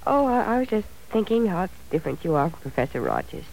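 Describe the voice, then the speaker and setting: An actress playing a dreamy, affectionate young wife who talks in a wistful, musing way, in 1940s radio style. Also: female voice, on the low side, very animated, fast talker, creaky, a little mellow, slight background noise, rough recording.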